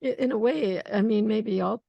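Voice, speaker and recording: A woman hosting a poetry conversation over a video call, thoughtful and searching, with many pauses and restarts. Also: mid-range voice, vocal fry, mellow, no echo, lo-fi sound. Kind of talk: casual video call discussion.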